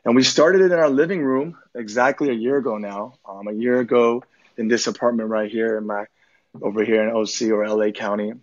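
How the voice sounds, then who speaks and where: Young minister, late twenties man, earnest and easygoing, mid pitch, slightly muffled call sound. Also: steady tone, a little fast. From Korea. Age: 20s. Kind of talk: casual conversation.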